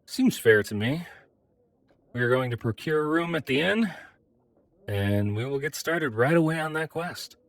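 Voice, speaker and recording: Man as a metal-bodied adventurer, earnest, measured, polite, very dry studio sound, fantasy audio drama. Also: a little high, animated, no room sound.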